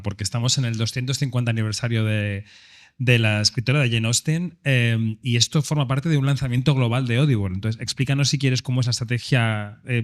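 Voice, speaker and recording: A man moderating a festival press panel, introducing guests and asking questions in a chatty, informal way. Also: medium pitch. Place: event hall at a film festival.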